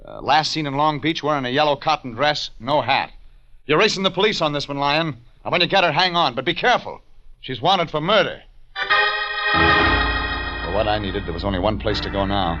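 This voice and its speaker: An actor playing a tough, wry private detective in clipped 1940s radio drama style. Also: male voice, mid-range voice, lively intonation, fairly quick, bright, forceful tone. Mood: urgent. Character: tough, wry male private detective narrating his case. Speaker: actor playing the lead and narrator. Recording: dull old radio recording with music.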